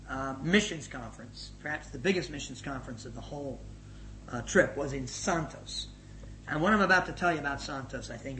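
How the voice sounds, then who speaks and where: A middle-aged man giving a spoken report as a missions leader to a gathering, energetic and emphatic, swinging between loud and hushed. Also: medium pitch. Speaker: missions leader addressing his organisation. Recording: echoey room with faint noise.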